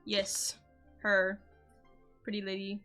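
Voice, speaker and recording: Woman, bright forceful voice, casual game streamer, rambling, informal, faint music behind. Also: mid-range voice, completely flat delivery, slow. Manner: rambling, informal, talks to herself and viewers. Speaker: game livestreamer. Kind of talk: casual chat while playing.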